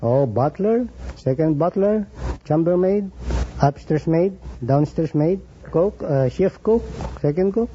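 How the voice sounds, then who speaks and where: A polite, deferential man speaks very slowly and haltingly as a quiz contestant. The vintage radio recording is muffled and noisy, with an audience behind him. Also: fairly high pitch, lively intonation, warm tone. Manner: deferential, halting, often adds "sir". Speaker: contestant, butler. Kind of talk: answering questions on a radio quiz show. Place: radio studio with live audience.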